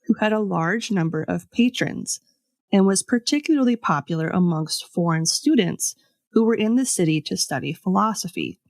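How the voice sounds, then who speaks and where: A woman podcast host telling a scripted story in a conversational way, with pauses for dramatic effect. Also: mid-range voice, animated, darkish tone, dry recording. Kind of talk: scripted storytelling with casual asides.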